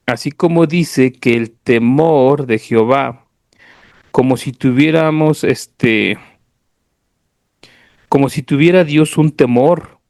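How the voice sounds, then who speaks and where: A man's voice: mid-range voice, a little mellow, no echo, studio quality. A man with a Mexican accent preaching an informal, didactic sermon, explaining conversationally and often checking that listeners follow. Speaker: preacher at a church service. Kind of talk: informal sermon with Bible reading.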